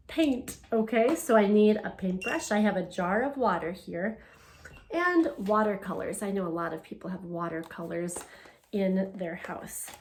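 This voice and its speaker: A woman speaks at an unhurried, slow pace in a mid-range voice. She sounds like an elementary teacher guiding children through a story and art activity, with gentle encouragement and short, simple phrasing. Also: mid-range voice, a little hiss or hum. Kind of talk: children's read-aloud and art lesson.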